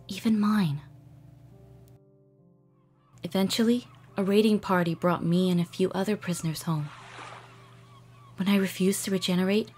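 A woman voicing a melancholy, guarded young female alien water gem in a cartoon dub, wistful and halting. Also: mid-range voice, a little mellow, close to an everyday voice. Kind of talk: dramatic cartoon comic dub.

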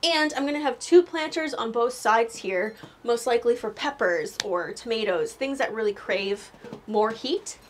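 A woman's voice: a little high, moderate pace, slight background noise. Chatty Canadian woman touring her garden. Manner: chatty, upbeat, with frequent laughs and "um"s. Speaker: garden vlogger. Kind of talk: casual walking garden tour. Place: snowy backyard garden outdoors. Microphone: lapel mic.

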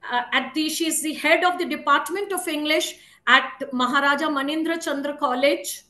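A middle-aged woman with an Indian accent, formally introducing a speaker as host, reading carefully and haltingly over a video call. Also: sits high, sharp tone. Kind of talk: formal introduction read from notes. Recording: roomy video-call sound.

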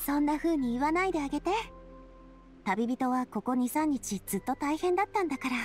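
Friendly confident anime game lady, high voice, super lively intonation, caring. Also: a little fast, music in the background. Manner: bright, friendly, expressive. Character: confident, caring, sociable young woman leader. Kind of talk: Japanese anime game acting.

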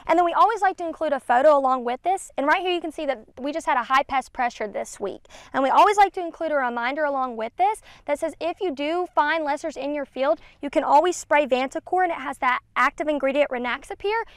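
A woman's voice: high voice, no echo. A woman in her twenties, a field specialist explaining a product as an interview guest outdoors. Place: outdoors in an open peanut field. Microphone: clip-on lavalier.

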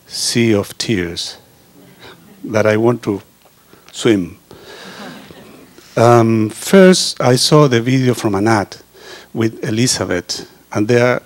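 Earnest man. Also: fairly low pitch. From Chile. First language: Spanish. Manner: earnest, halting, with pauses and restarts. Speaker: osteopath giving a testimonial. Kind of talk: reading a prepared personal speech. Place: indoor meeting room. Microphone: handheld microphone.

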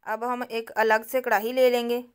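A woman talks viewers through a recipe, one step at a time. Her voice sits a little high and stays fairly even, with a smooth, clear tone. She explains each step calmly, like a patient cooking host. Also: normal speed. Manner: calm, instructive, addresses viewers directly.

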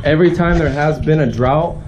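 A man's voice: a little high, brisk, lo-fi sound, a crowd in the background. A man in his thirties touring a farm property for his family vlog, chatty and playful, speaking into a camera on a selfie stick outdoors. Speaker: family vlogger. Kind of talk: casual vlog walkthrough. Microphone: action camera on a selfie stick. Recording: outdoor camera with clear background noise.

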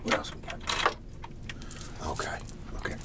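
A man playing a folksy, excitable garage-sale salesman in an ASMR roleplay, whispery and breathy, rambling and repeating his hard sell. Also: measured pace, background noise, lightly acted. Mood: coaxing, insistent. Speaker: host playing a character.

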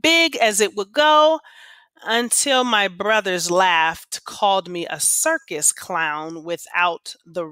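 Bright-voiced woman reading aloud like a teacher. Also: mid-range voice, slow pace, smooth, no echo. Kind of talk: expressive read-aloud of children's poems and class instructions.

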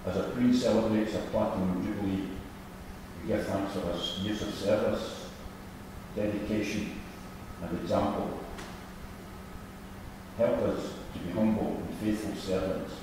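An older man reading a formal prayer in solemn, measured phrases at a church lectern. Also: deep voice, steady tone. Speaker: church member leading prayers. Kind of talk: formal read-out intercessory prayer. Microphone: gooseneck lectern microphone. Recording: echoey church hall, distant, noisy.

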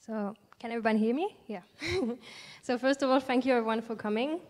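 A woman in her thirties from Alsace in France, a native French speaker with a French accent, speaking gently and reflectively as an artist guest in a stage interview into a handheld mic. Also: on the high side, brisk. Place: large indoor event space at a museum, on stage. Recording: long hall reverb.

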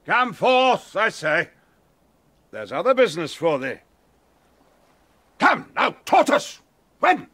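An actor playing a commanding, stern sorcerer in a Shakespearean audio play, imperious and theatrical, moving between hushed and thundering. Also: male voice, high-pitched, sing-song, slow pace, long pauses, slightly rough, dry recording, full character voice. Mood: angry. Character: commanding, stern male sorcerer master and father. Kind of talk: Shakespearean audio drama acting.

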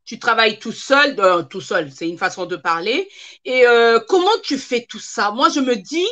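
A woman's voice: on the high side, expressive, moderate pace, fairly bright tone. A woman in her thirties hosting a live online interview from home, chatty and encouraging, stringing questions together with fillers. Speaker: host. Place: room at home, indoors.

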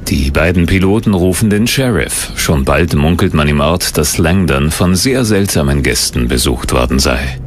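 A man reading scripted documentary narration in a measured, suspenseful storytelling manner. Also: a bass voice, lively intonation, slight background noise.

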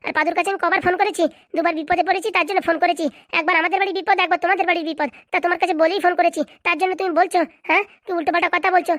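A woman's voice: extremely high pitch, fairly even intonation, very fast, hardly any pauses, fairly bright tone, dry recording, clean studio sound. A woman playing an aggrieved, stubborn young wife, argumentative and emphatic, in a comic cartoon. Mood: angry, accusing. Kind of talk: comic cartoon drama dialogue.

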